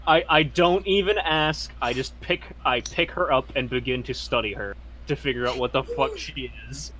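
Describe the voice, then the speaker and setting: A man's voice: mid-range voice, moderate pace, a bit bright, a little hiss or hum, low-quality recording. A young man playing a tabletop role-playing game, joking and laughing as he explains his character. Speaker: player. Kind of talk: casual tabletop game chat.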